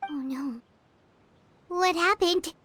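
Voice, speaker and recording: A woman voicing a small, curious fairy-like game companion in a high, childlike voice, asking eager questions in a studio game recording. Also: a bit bright, slight background noise, full character voice. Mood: worried. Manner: eager questioning, quick reactions. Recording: game audio with music behind.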